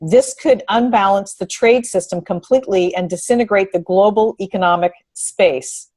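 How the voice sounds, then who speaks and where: A woman co-host on a discussion show speaks in an earnest way. She moves in halting phrases, as if thinking through each point for the listeners. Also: medium pitch, darkish tone. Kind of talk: unscripted discussion, reading quotes aloud.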